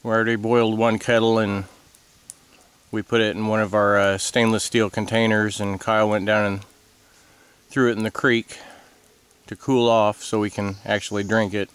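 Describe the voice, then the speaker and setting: A middle-aged man narrating casually to a camera outdoors in the woods, in an unhurried, folksy way. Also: on the low side, level tone, a bit bright, clean tone, no echo. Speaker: outdoor vlogger. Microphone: camcorder a few feet away.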